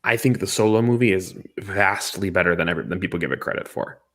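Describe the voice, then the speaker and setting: A man's voice: sits low, quick pace. A man co-hosting a casual, opinionated talk show, speaking emphatically and repeating phrases for stress. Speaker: podcast co-host reviewing movies and games.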